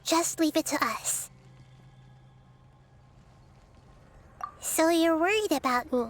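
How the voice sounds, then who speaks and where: A woman voicing a small, childlike goddess in a video game, wise and caring, with a gentle, articulate delivery. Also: extremely high pitch, lively intonation, normal speed, background noise. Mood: calm, reassuring.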